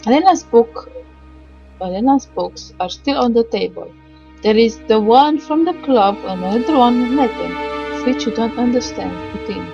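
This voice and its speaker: High-voiced woman reading aloud over music. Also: lively intonation, gravelly, mellow, lo-fi sound. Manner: halting read-aloud with stumbles and pauses. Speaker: gamer reading a visual novel aloud. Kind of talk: game playthrough narration with asides. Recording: music behind the voice. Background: game music.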